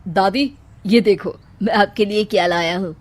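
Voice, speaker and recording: A woman's voice: medium pitch, normal speed, close to an everyday voice. A woman voicing a caring young grandson with an earnest, respectful manner. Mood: affectionate.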